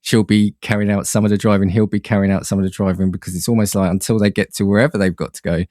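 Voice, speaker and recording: Lowish voiced guy guesting on a podcast, casual, restarts his sentences a bit, dry audio. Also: flat delivery, quick pace, a little mellow, dry recording. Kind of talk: casual chat.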